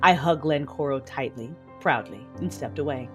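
A woman playing a proud, imperious mother in a sci-fi audio drama, narrating formally and deliberately. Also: a bass voice, fairly bright tone, faint music behind. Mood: proud, reflective.

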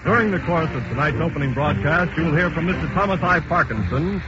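A man's voice: fairly high pitch, fairly quick. A man announcing a 1940s radio show in a formal, declamatory scripted style. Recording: dull 1940s radio recording, loud music.

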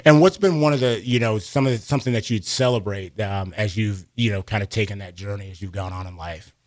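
A man's voice: fairly low pitch, fairly quick, a little mellow, no echo. A man hosting a live radio interview from a studio, speaking into a close mic. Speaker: radio show host.

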